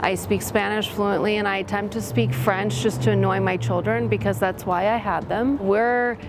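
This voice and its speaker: A woman's voice: mid-range voice, steady tone, normal speed, background noise. A woman from Latvia, a school parent and board member, giving a candid testimonial with frank, self-deprecating humor and open feeling. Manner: heartfelt, open about feelings. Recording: music bed under the voice.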